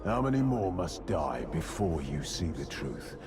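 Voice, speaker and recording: An actor playing a vengeful madhouse-survivor serial killer in a video game, taunting and theatrical. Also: male voice, a bass voice, very animated, unhurried, loud music behind the voice, exaggerated voice. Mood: bitter taunting. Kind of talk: dramatic video game acting.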